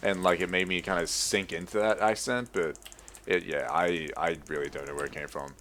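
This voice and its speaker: A young man with a low voice and an American accent, talking over a video game at his computer with keyboard clicks around him. He rambles, restarts his sentences and swears casually as he goes. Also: fairly even intonation, fairly bright tone. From Australia. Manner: rambling, profane, halting restarts. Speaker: gaming YouTuber. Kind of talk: casual commentary over gameplay.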